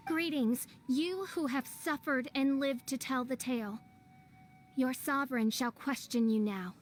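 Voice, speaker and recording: A young princess from a video game who speaks like a sovereign. The high voice is grand, dramatic and haughty, with archaic phrasing. A faint ambience lies behind it. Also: female voice, unhurried, slight background noise. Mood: grandiose.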